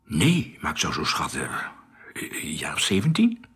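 An actor playing a gentle, curious man in love in a radio drama, speaking softly and a little hesitantly, with some teasing. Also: very deep voice, huge pitch swings, normal speed, breathy edge, heavily acted.

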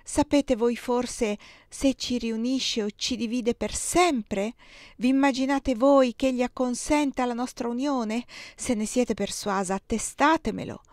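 A woman reading the lines of an impassioned young nobleman in love, pleading with rising pitch. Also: measured pace, a little mellow, no room sound, studio quality, close to an everyday voice. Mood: anguished. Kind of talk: audiobook dialogue reading.

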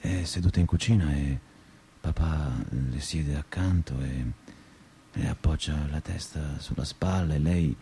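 Low, mellow, restless young man. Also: slightly rough, full character voice. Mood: intimate, anguished. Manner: intimate, confessional, crude-mouthed. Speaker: stage actor. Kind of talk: theatrical radio monologue.

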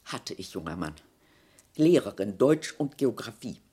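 Radio drama: a sarcastic, defiant niece and ex-teacher, rough-edged voice with sweeping pitch. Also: female voice, big pitch swings, measured pace, mellow, heavily acted. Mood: dry, weary. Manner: sarcastic, theatrical, pointed. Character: bitter, defiant niece, a former teacher turning on her relatives. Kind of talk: German radio play acting.

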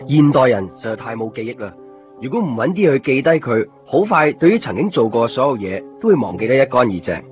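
Introspective copy-shop man played by a male actor, radio drama monologue, expressive pitch, dulled 1990s recording. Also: fast, muffled recording, lightly acted. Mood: reflective. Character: observant, introspective copy-shop man who collects copies. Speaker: drama narrator and lead. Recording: band-limited 1990s radio recording, music behind. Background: clear background music.

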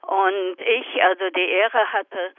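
An older woman recalling memories hesitantly and deliberately, heard over a telephone. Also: medium pitch, steady tone, dry recording. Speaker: interviewee recalling childhood. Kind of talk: spoken reminiscence. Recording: muffled tinny telephone line.

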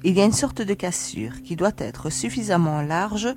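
A woman acts out a self-assured young female space adventurer in an amateur audio drama. Her voice sits slightly low, with a direct, teasing manner, and faint music plays behind her. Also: animated, moderate pace, a little mellow, natural voice. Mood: reassuring, practical.

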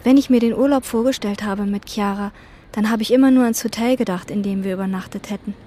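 An actress playing a quiet, obsessive hotel chambermaid who speaks in slow, introspective radio-drama monologue. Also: female voice, mid-range voice, slight background noise, close to an everyday voice. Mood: brooding. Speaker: narrator and lead character.

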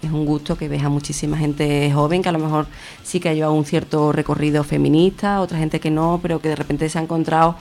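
A woman's voice: deep voice, little pitch movement, fast, a little mellow. A woman with a Spanish accent from Spain answering casually and colloquially as a guest in a radio interview. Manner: informal, colloquial. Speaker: interview guest, feminist festival organiser.

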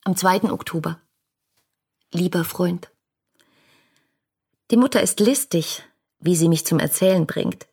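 An actress playing an ardent, lovestruck young woman who speaks her letters lyrically and fervently in a radio drama, with a husky voice. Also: fairly low pitch, unhurried, pauses often, warm tone. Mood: confiding, defiant. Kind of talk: literary radio drama monologue.

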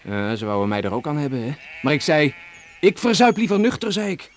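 A man's voice: on the high side, sing-song, brisk. An actor playing a hearty but wistful seaman in a Dutch radio play, with an exclamatory, folksy, emotional way of talking. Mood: boisterous storytelling. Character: hearty, wistful seaman home after years away. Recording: noisy old radio play recording.

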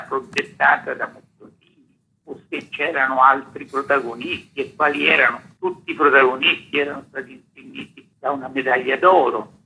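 Older guy author in an interview, super hoarse-sounding husky voice, bright and punchy, explains stuff like a teacher. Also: mid-range voice, rough recording. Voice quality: husky, rough, gravelly. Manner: emphatic, didactic, builds points by repetition. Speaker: author being interviewed about a history book. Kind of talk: spoken interview, explanatory storytelling.

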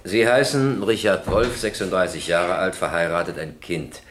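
An actor playing a stern, formal presiding judge who questions with authority in a German radio crime drama. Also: male voice, deep voice, fairly even intonation, normal speed, fairly bright tone, a little hiss or hum. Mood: formal, matter-of-fact. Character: stern, probing male presiding judge in a courtroom.

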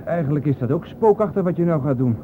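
A man acting a skeptical, curious press photographer in a radio play. His voice is fairly high and swings widely as he questions things in blunt, colloquial speech. The recording is old and tinny, like a phone line. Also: lively intonation, fast, slight background noise, lightly acted. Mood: doubting, curious.

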